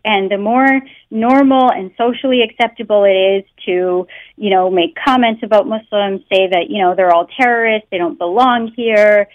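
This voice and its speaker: A Canadian woman talking earnestly down a muffled phone line, her voice dry and close. She leans on many "you know"s and "um"s as she explains. Her pitch sits at an ordinary middle height. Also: fairly even intonation, moderate pace, dry recording. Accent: Canadian English. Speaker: guest researcher. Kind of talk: phone interview. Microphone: telephone.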